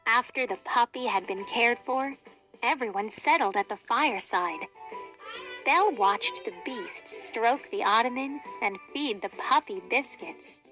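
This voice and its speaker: A woman reading a children's storybook aloud in an expressive, deliberate, dramatic way. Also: on the high side, faint music behind, poor audio. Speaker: storybook reader.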